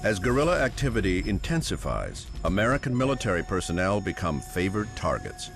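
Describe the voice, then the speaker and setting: Deep-voiced war documentary narrator. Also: male voice, very animated. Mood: solemn, measured. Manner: measured, formal. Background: music.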